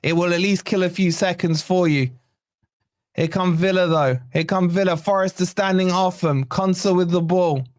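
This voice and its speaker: A man hosting a fan channel's live watch-along, hoarse from shouting, giving excited, partisan play-by-play with swearing. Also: sits high, moderate pace, no echo, studio quality. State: hoarse, losing his voice. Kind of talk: excited fan match commentary.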